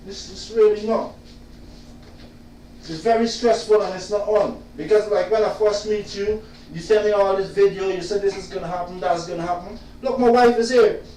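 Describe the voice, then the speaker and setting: A man with a fairly high voice talks loudly and insistently, going back to the same complaints again and again. He is a little away from the mic in a very echoey room with faint noise behind him. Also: steady tone, normal speed, far from the mic. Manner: loud, insistent and repetitive. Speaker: client complaining about his solicitor. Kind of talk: casual venting monologue.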